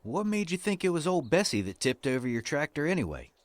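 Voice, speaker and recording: Man playing an earnest cowboy hero, lively pitch. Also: fairly quick, no room sound. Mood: skeptical. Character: earnest, neighborly wandering cowboy hero, rallying and kindly. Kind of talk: comic western audio drama acting.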